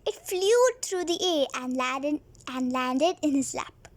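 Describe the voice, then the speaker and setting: A woman's voice: high-pitched, takes it slowly. A young girl hosting a read-along, reading a storybook aloud expressively and chatting to viewers. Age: child. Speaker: host of a read-along video.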